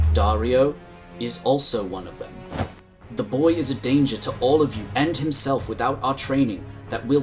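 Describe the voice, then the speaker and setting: A composed, dutiful agent with psychic gifts, played by a man, speaking in a measured, polite but unyielding way. His voice sits in an ordinary middle range. A soft music bed runs beneath this sci-fi audio drama. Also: medium pitch, lightly acted, low-quality recording. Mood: earnest. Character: calm, dutiful male psionic scion agent.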